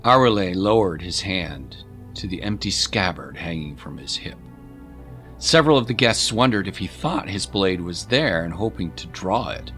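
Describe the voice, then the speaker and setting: A male audiobook narrator reads dramatic fiction. His voice sits low and moves with lively intonation, with faint music underneath. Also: normal speed. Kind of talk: dramatic scripted story narration.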